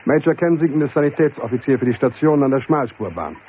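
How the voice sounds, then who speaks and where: Actor as dry army sergeant, noisy backdrop. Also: male voice, medium pitch, animated, quick pace, mellow, lightly acted. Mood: dry, formal. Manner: formal, military, dry. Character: dutiful army sergeant, dry, correct, deferential. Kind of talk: German radio drama acting.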